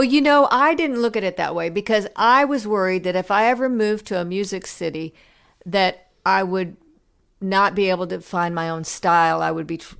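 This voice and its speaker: Low, gravelly woman telling stories. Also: fairly bright tone. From United States. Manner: reflective storytelling with many restarts and fillers. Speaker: musician guest. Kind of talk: casual interview conversation.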